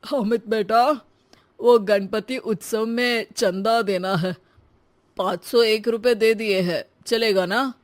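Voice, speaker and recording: A woman's voice: mid-range voice, fairly even intonation, takes it slowly, dry recording, natural voice. Casting: woman as agreeable elderly dad in a cartoon tale, mild and deferential. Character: mild, agreeable older father of a grown son.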